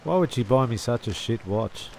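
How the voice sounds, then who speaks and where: A man's voice: medium pitch, animated, normal speed, creaky, darkish tone. A man reading scripted comic storytelling narration.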